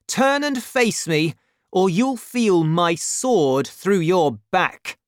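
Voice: mid-range voice, expressive, slow, no room sound, studio quality, pitched above the performer's own voice. A storybook narrator voicing a proud, hot-headed young Gascon man of eighteen with an indignant, challenging delivery. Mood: angry, defiant.

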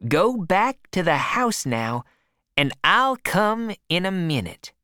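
A bold, clever boy with a plan, sure of himself and matter-of-fact, as a woman reader voices him. He speaks slowly and plainly, with her voice lively but kept low, in a dry, close recording. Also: dry recording, heavily acted. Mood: confident.

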